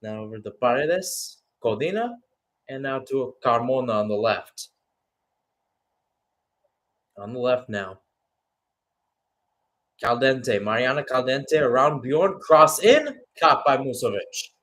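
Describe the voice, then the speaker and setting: A man's voice: mid-range voice, animated, slow, pauses often, a bit bright. A man giving live radio-style play-by-play commentary on a football match, announcer-like and speaking in short phrases.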